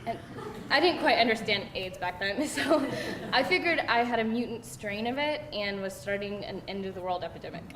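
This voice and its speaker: A woman's voice: animated, normal speed, crowd noise, natural voice. A woman acting a candid, sarcastic young woman at a live screenplay read, in a conversational style. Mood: amused confession. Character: candid, wry, sarcastic young woman recounting her teens. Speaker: actor at a screenplay table read. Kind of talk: live read of conversational comedy-drama dialogue. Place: live table read in a room. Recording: roomy, distant mic, faint rumbling noise.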